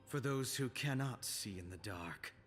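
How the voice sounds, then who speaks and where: A man playing a cold, merciless young assassin in a video game, speaking in a measured, grim, theatrical way over music. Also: medium pitch, animated, fairly bright tone. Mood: grim, arrogant. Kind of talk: video game character voice lines. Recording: game audio with music behind.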